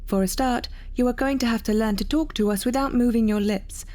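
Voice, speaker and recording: A woman's voice: a little high, a little fast, mellow, close to an everyday voice. A woman playing a stubborn, commanding artificial intelligence in an audio drama, scolding as she speaks. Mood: bossy, stern. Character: bossy, stubborn female artificial intelligence sharing a body.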